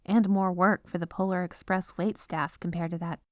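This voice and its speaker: A woman's voice: on the low side, steady tone, normal speed, a little mellow, no echo, clean studio sound, natural voice. A woman playing an exasperated, businesslike head lawyer at the North Pole in a scripted comedic audio roleplay. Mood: brighter, earnest. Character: exasperated, dutiful head legal advisor at Santa's North Pole.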